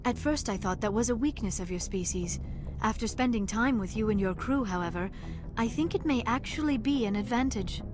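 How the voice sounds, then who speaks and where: A woman voicing a gentle, earnest young female alien scientist in a video game, speaking formally and carefully. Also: a little high, expressive, a little fast, close to an everyday voice. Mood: soft, earnest. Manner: formal, careful phrasing without contractions. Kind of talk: video game dialogue acting. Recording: music behind the voice.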